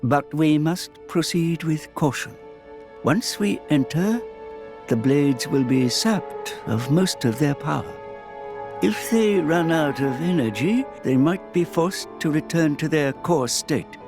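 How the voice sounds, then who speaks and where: A man voicing a wise, grave old mentor with a creaky voice in a fantasy video game. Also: on the high side, expressive, takes it slowly, music in the background.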